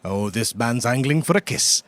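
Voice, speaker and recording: A man's voice: mid-range voice, expressive, normal speed, fairly bright tone, no room sound, lightly acted. A man with an Irish accent playing a toothless, roguish eighty-year-old pub regular in an improvised comedy game, with a theatrical, folksy lilt. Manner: theatrical, lilting, folksy turns of phrase.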